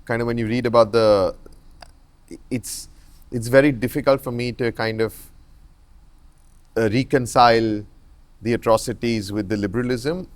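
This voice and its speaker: A man's voice: medium pitch, fairly even intonation, normal speed, pauses often, no echo. A man hosting an interview, who often agrees briefly and phrases his own points hesitantly with fillers. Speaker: podcast host. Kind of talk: conversational interview.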